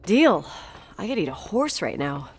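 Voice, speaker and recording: A woman's voice: lowish voice, very animated, measured pace. An actress playing a guarded, strong-willed young American woman with a dry manner in a naturalistic video game. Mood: dry, wry. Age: young adult.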